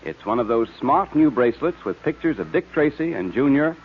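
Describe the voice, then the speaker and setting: A man announcing and pitching for a sponsor on 1940s radio in a hearty, emphatic way. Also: lively intonation, a little fast, slightly rough. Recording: muffled vintage radio recording.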